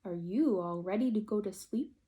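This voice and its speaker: A woman voicing a patient, mildly exasperated father chicken with a parental, gently scolding manner in a storybook. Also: medium pitch, normal speed, lo-fi sound, natural voice. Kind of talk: picture-book read-aloud character voice.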